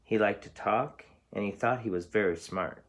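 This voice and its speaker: A man's voice: on the low side, normal speed, a bit bright, a little hiss or hum. A man reading a storybook aloud as a teacher, explaining things simply for young children.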